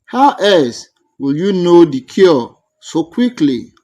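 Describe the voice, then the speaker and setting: A man's voice: a little high, sing-song, slow, darkish tone. A man voicing a suspicious village elder in a folktale, sneering and accusing. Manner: sneering, challenging.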